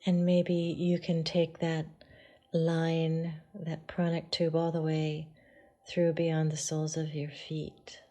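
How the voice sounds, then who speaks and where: A woman teaching yoga meditation, guiding listeners in a soft, hushed delivery with pauses. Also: low-pitched, monotone. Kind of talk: guided meditation.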